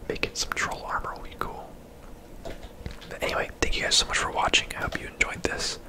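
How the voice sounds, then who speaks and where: A person whispering casual, laid-back ASMR commentary over a video game, in a breathy, unvoiced voice. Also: brisk. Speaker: host, gamer.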